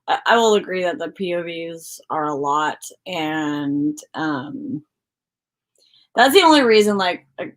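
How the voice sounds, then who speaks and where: A woman's voice: fairly low pitch, expressive, unhurried. A woman co-hosting a book livestream, chatting casually in a rambling, informal way. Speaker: co-host of a book discussion livestream.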